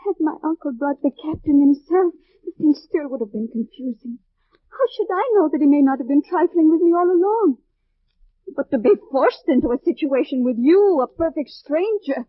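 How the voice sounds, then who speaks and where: A woman's voice: high voice, lively intonation, normal speed. An actress playing a proud, distressed young French noblewoman with refined, earnest delivery in an old radio drama. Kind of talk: 1940s-50s radio drama acting. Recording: tinny, narrow old radio recording.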